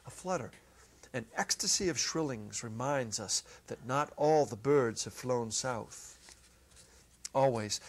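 A middle-aged man giving an expressive dramatic reading of a literary short story, with deliberate pauses. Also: mid-range voice, vocal fry, breathy edge. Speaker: narrator, reader. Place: indoor set, seated at a music stand. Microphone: clip-on lapel.

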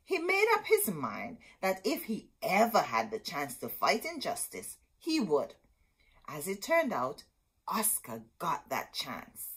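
Very animated, husky woman reading a storybook. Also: on the low side, takes it slowly. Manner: dramatic, emphatic storytelling with asides to listeners. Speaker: storyteller reading children's books aloud. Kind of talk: expressive picture-book read-aloud. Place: indoor room with bookshelves.

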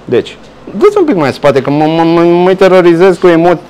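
Lively, joking middle-aged man reporter. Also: high-pitched, clear, resonant tone. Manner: jokey, teasing, animated. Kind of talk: lively walk-through interview in a shop. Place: small delicatessen shop indoors.

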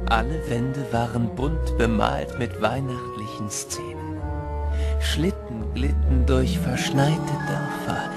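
A male storyteller played by an actor narrates a Christmas tale for an audio drama. He speaks slowly with a creaky, gravelly edge, and prominent music plays behind him. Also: mid-range voice. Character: first-person storyteller of a fanciful Christmas tale. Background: loud music.

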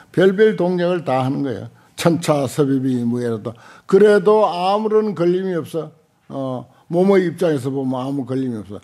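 An older man lectures as a Buddhist monk. His voice is husky and mellow, and he talks informally, pausing often and dropping in short interjections as he explains a text into a desk microphone. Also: fairly high pitch. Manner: halting, conversational, with frequent pauses and short interjections. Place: lecture room at a temple center, seated at a desk. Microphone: desk gooseneck microphones close in front.

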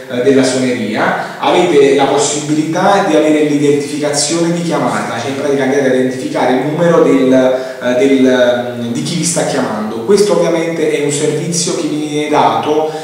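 A man's voice: medium pitch, a little mellow. Male shop demonstrator, unscripted and hesitant, recorded away from the mic in a reverberant room. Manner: hesitant, repetitive explaining with frequent verbal tics. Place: indoor room, demonstrating at a tabletop. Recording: echoey room, distant mic, faint noise.

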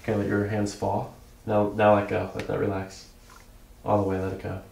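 Gravelly-voiced male chiropractor. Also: lowish voice, monotone, normal speed, darkish tone, slight background noise. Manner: explains each step before doing it. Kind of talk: calm clinical exam talk to a patient. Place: small carpeted clinic office.

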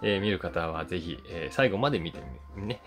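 A man's voice: sits low, lively intonation, a little fast, fairly bright tone. A man in his forties chatting casually to camera as he reviews a film, conversational and emphatic. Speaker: YouTube host reviewing films, voice actor. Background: background guitar music.

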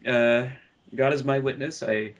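A man's voice: medium pitch, fairly even intonation, a little slow, dull audio. A man chatting casually as a podcast panelist, hesitant and often repeating the start of his phrases. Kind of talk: casual film discussion.

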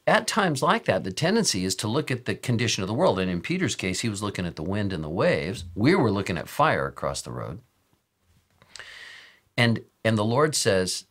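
An American man in his sixties with a slightly raspy voice, teaching as a pastor in an informal, earnest sermon style. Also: deep voice, a bit bright. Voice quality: a little raspy. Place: office or study with bookshelves, indoors.

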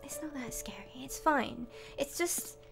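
A woman's voice: sits high, unhurried, vocal fry. A woman giving casual, nervous and hesitant commentary while she plays a horror game, often muttering. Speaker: gamer and voice actress. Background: game music.

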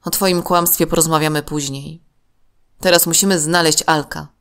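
A woman's voice: low voice, steady tone, normal speed, fairly bright tone. A woman voicing a serious, level-headed, worried young boy in a children's story, earnest and urgent.

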